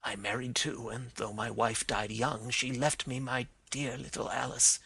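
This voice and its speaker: A man's voice: medium pitch, little pitch movement, measured pace, gravelly, pushed and bright, breathy edge, exaggerated voice. A man voicing a dying older man who pleads and confesses with emotion. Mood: confessing. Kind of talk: dramatized reading of a detective story.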